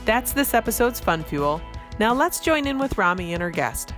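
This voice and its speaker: A woman's voice: on the high side, big pitch swings, moderate pace, a bit bright. A woman presenting a scripted podcast segment with a light, wry delivery. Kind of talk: scripted narration. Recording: clear music bed behind her.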